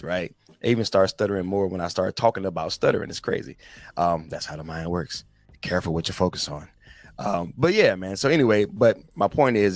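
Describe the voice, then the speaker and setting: A man's voice: low-pitched, dry recording. A man in his forties giving a casual, slangy motivational talk as a podcast host, speaking directly to his listeners. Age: 40s. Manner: conversational, slangy, addresses listeners as guys.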